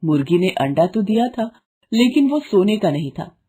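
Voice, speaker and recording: Female storyteller for children's fables: lowish, mellow, husky voice with expressive delivery. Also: moderate pace. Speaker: storyteller narrator. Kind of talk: scripted children's story narration.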